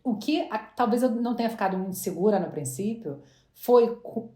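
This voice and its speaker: A woman's voice: mid-range voice, expressive, fairly quick, dark tone. A woman, chatting as an interview guest and often restarting her words. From Brazil. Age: 40s. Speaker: guest entrepreneur. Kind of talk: casual interview chat. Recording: roomy echo.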